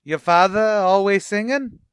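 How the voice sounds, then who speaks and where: A man's voice: high voice, unhurried, smooth, no room sound. A man playing a boastful, wisecracking tavern manager in an old-time radio comedy, with a pompous, blustery delivery.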